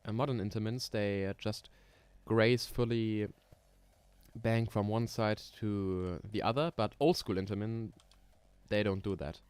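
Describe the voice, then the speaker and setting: Young german guy on a chill late night stream, kinda low voice, lots of ums and little laughs, keyboard typing in the back. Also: unhurried, smooth, dry recording. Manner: relaxed, thinks aloud with many ums and small laughs. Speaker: streamer building roller coasters. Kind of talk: casual live stream chat.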